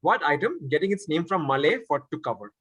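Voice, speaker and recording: A man's voice: on the high side, sing-song, quick pace, a bit bright, husky, dry recording. A man hosting a quiz, reading out questions and confirming answers. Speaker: quiz master. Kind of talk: reading quiz questions aloud, with spoken asides.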